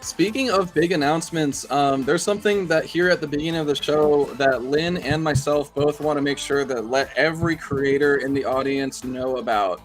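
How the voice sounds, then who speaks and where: A man's voice: on the high side, moderate pace, few pauses, faint music behind. A man co-hosting a live stream, talking casually with many hesitations and fillers.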